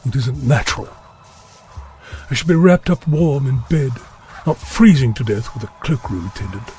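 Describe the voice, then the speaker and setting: An actor playing a pompous, fretful government ambassador who grumbles gloomily and disdainfully in a comic audio drama. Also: male voice, medium pitch, lively intonation, moderate pace, dark tone, lightly acted. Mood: grumbling, anxious. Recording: music behind the voice.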